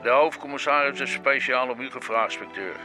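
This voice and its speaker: Man acting a deferential junior policeman, polite, hesitant, medium pitch, radio play, clear music behind. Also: fairly quick, lightly acted. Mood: apologetic, urgent. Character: deferential, apologetic male police subordinate. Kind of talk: Dutch radio drama acting.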